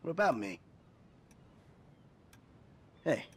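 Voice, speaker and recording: Bitter middle-aged game ex-crook: high for a man, widely swinging pitch, sarcastic and foul-mouthed. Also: very animated, drawn-out words, slightly rough, heavily acted. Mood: scornful. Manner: sarcastic, profane, agitated. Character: bitter, cynical middle-aged ex-criminal venting in therapy. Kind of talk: naturalistic video game cutscene acting.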